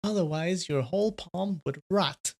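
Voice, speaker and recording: A man's voice: high-pitched, a little slow, vocal fry, voice pushed up, full character voice. A man voicing a teasing woman of a poison sect in a martial arts story, playful and taunting.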